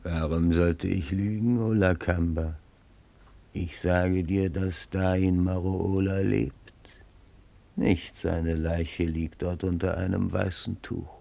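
Sly one-eyed retainer, radio play. Very gravelly, slow, solemn and archaic in phrasing. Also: male voice, low-pitched, flat delivery, warm tone, exaggerated voice. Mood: grave, conspiratorial. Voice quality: gravelly, husky. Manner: measured, ceremonious, archaic storytelling. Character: sly one-eyed male servant reporting to his raja. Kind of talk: solemn German radio drama acting.

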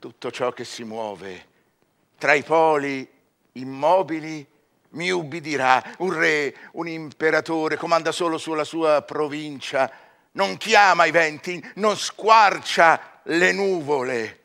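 An actor playing a proud scholar hungry for magical power in a verse drama, grandiose and declamatory, swinging between murmurs and swelling phrases. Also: male voice, on the high side, takes it slowly, bright, forceful tone, full character voice. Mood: grandiose, exultant. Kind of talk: theatrical verse radio drama.

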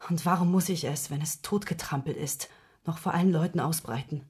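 Husky, wounded woman confiding painfully. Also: sits low, a little mellow. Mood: wounded, bitter. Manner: halting, confiding, emotionally wounded. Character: distressed woman confessing her secret love affair to investigator. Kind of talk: radio play acting.

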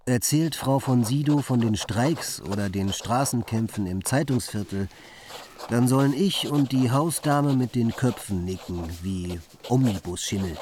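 An actor plays a wry young bohemian telling his story in a German radio play. His voice is of middle pitch and his delivery musing and literary, over faint noise and clicks. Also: a little slow, a little mellow, lightly acted. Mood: reflective, dry.

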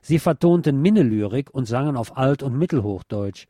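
Mellow man narrating a documentary. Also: mid-range voice, expressive, moderate pace, no room sound, clean studio sound. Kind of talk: scripted documentary narration on music history.

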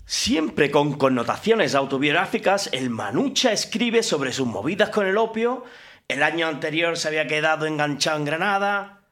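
A man's voice: on the high side, expressive, moderate pace, fairly bright tone. A man announcing and narrating on the radio in a matter-of-fact way.

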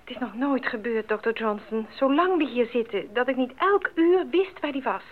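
An actress playing a worried missionary wife at a radio post in a Dutch radio drama. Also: female voice, on the high side, animated, normal speed, close to an everyday voice. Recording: muffled, narrow phone-like radio play recording.

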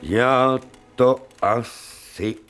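An actor playing an authoritative, brooding and eccentric professor-surgeon in a radio drama, with a commanding, theatrical manner. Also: male voice, medium pitch, fairly bright tone, faint music behind, lightly acted. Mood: brooding, hesitant.

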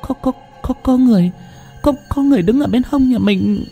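A man's voice: sits high, big pitch swings, normal speed, dark tone, husky, soft background music, voice pushed up, exaggerated voice. A man voicing a terrified young wife who stammers and repeats her words in panic.

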